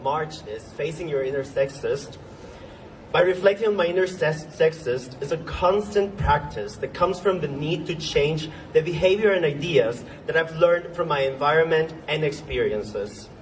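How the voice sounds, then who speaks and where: A young man delivers an earnest protest speech outdoors, his voice sitting slightly high. He is caught by a phone some distance away, with a faint hum of street ambience around him. He halts now and then with ums and restarts. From Venezuela. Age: young adult. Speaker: speaker at a protest march. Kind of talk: impassioned rally speech. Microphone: phone recording from the crowd.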